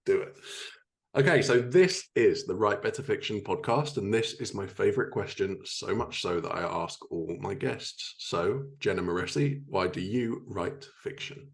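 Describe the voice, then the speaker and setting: Low-pitched man hosting casually. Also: normal speed, gravelly. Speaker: podcast host. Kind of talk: casual interview chat.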